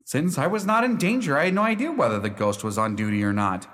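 An actor playing a droll, unruffled lawyer in a radio play, speaking in a formal, theatrical, matter-of-fact way. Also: male voice, medium pitch, fast talker, fairly bright tone, close to an everyday voice. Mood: formal, self-assured. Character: droll, unruffled lawyer of Scottish descent who owns a haunted house. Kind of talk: radio play storytelling and dialogue. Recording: reverberant hall sound.

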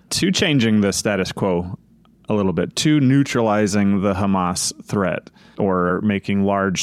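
A man giving unscripted opinion commentary as a co-host, building his points in a deliberate, rhetorical way. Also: on the low side, dry recording.

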